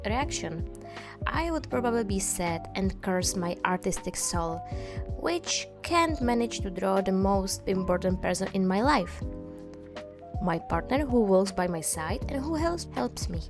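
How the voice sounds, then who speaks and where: A woman with a Czech accent narrating her art vlog in a gentle, dreamy, slightly playful way. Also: mid-range voice. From Czech Republic. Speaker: artist vlogger. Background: music.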